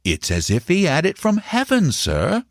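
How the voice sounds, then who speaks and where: A man's voice: on the high side, wildly swooping pitch, normal speed, dry recording, clean studio sound, voice pushed up. A man voicing a deferential, devoted male servant in a storybook reading, speaking respectfully.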